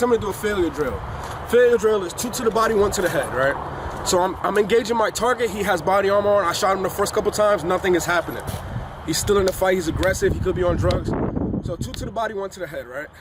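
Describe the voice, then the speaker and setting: A congested man with a fairly high voice coaches in a laid-back, slangy way on an outdoor range. Traffic and open-air noise carry clearly behind him, and his nose sounds blocked. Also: fast talker. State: congested from illness. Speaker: firearms instructor. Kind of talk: casual instructional vlog. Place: outdoors on a hilly shooting range, rain starting.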